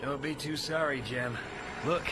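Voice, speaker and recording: An actor playing a battle-worn, excitable and wry Jedi sidekick in an audio drama. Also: male voice, a little high, unhurried, very noisy, close to an everyday voice. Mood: subdued. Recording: loud music over the voice.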